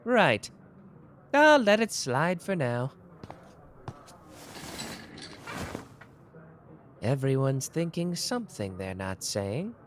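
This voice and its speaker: A man voicing a sharp, playful young male detective in a listener ASMR roleplay, teasing one moment and grave the next. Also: medium pitch, very animated, slight background noise. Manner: quick, teasing, theatrical shifts between cheeky and grave.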